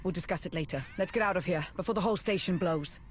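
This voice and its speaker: A woman playing a brisk, analytical military adviser in a dramatic sci-fi video game. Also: fairly low pitch, slight background noise, close to an everyday voice. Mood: urgent. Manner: urgent, serious.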